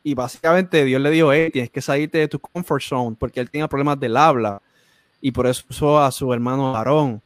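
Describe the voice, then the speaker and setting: Man, interview host, preachy, repeats himself, dry close sound, mid pitch. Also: fairly even intonation, a little fast, no room sound. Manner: preachy, repeats phrases for emphasis.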